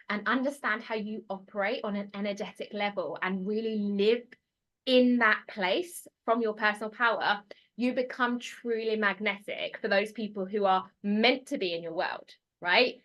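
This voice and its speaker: A woman with a British accent talking casually as a podcast guest, animated and expressive with quick bursts of speech. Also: a little high. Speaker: podcast guest, transformation mentor.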